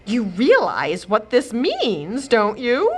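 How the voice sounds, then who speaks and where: Storybook fairy godmother, acted by a woman reader: proud, fretful, melodramatic, pitched high. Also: wildly swooping pitch, takes it slowly, exaggerated voice. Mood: indignant. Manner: dramatic hissing, moaning and wailing.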